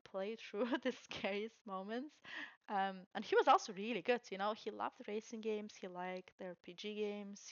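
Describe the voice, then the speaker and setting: Creaky-voiced young Estonian woman. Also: medium pitch, no room sound, highs cut off. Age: young adult. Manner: candid, self-deprecating, chuckles while talking. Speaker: vlogger telling her career story. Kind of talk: casual personal storytelling.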